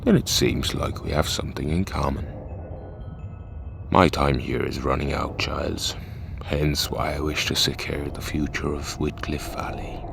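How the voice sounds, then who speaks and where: A man playing a calm, philosophical cult leader and grieving widower in an audio drama, speaking in a measured, eloquent way. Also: rumbling low, heavily acted. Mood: calm, probing. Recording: clear background noise and ambience.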